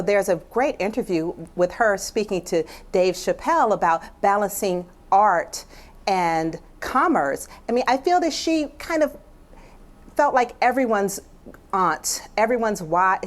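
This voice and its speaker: A woman with an American accent speaking as a writer and guest in a thoughtful broadcast discussion. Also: mid-range voice.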